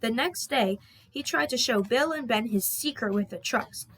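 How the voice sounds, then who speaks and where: A woman reading a children's storybook aloud as an expressive narrator. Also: on the high side, normal speed, a little hiss or hum, rough recording. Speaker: storybook reader.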